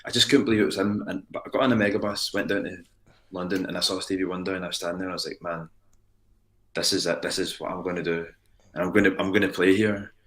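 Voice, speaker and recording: A man's voice: deep voice, steady tone, fast talker, a bit bright. A Scottish man in his late twenties, a musician guest talking earnestly in a casual interview, rambling with frequent restarts. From Ayrshire, Scotland.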